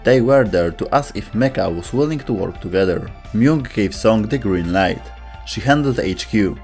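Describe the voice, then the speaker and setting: A man's voice: lowish voice, expressive, normal speed, a little mellow, faint music behind. A man reading scripted narration as a video host.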